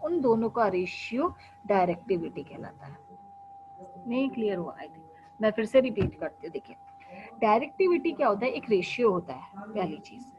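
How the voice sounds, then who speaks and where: A woman's voice: on the high side, a little mellow. A woman lecturer explains technical topics, posing questions and answering them herself and often checking in with students. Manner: explanatory, asks and answers her own questions, frequent "ठीक है" checks. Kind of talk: classroom lecture mixing Hindi and English. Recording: dull lo-fi sound with faint hum.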